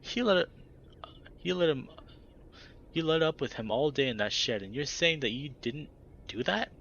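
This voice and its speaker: A man voicing a dopey young woman who talks in a babyish, cooing whine with a slight rasp. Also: low-pitched, big pitch swings, moderate pace, dull audio, slight background noise, pitched above the performer's own voice. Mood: whiny, dopey.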